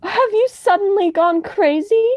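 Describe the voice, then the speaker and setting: A woman's voice: extremely high pitch, unhurried, a little mellow, no room sound. A teenage girl playing a spirited, alarmed young woman in an amateur radio play, dramatic and insistent. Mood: indignant.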